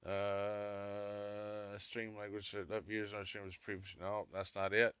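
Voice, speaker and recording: A man's voice: lowish voice, monotone, unhurried, a bit bright, smooth, no room sound, muffled recording. A man with a scratchy voice hosting a live game stream, muttering to himself as he searches through settings. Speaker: gaming streamer.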